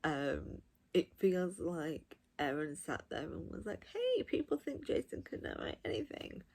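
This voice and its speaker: A woman giving a casual, unscripted review of audiobooks, gushing and emphatic, speaking in short, halting phrases. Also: fairly low pitch, very animated, gravelly, darkish tone.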